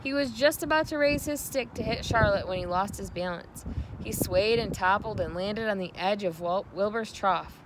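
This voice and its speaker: A woman giving an expressive read-aloud narration of a children's novel. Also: mid-range voice, moderate pace, background noise. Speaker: storybook reader.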